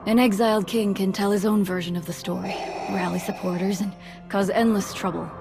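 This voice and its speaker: A stern, diplomatic stateswoman played by a woman, formal and composed, with a noticeable creak in her mid-pitched voice. Music plays behind this game dialogue. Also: steady tone, fairly quick, natural voice. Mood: grave. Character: composed, stern female government official. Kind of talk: video game cutscene acting.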